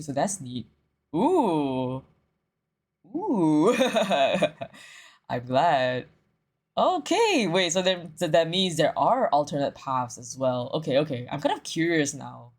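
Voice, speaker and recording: Low-voiced, excitable woman streamer. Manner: excitable, repeats phrases. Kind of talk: casual livestream gaming commentary. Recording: music behind the voice. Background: game music.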